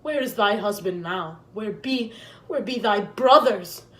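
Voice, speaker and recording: Woman acting a grieving, vengeful queen, slowly declaiming. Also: mid-range voice, lively intonation, a bit bright. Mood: scornful. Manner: solemn, declamatory Shakespearean delivery. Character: grieving, vengeful deposed queen, bitter and cursing. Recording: echoey room.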